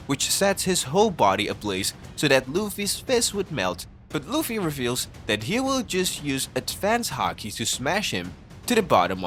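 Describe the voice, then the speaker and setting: A man's voice: medium pitch, fairly bright tone. A man reading scripted narration for an explainer video, recorded as a voice-over. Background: background music.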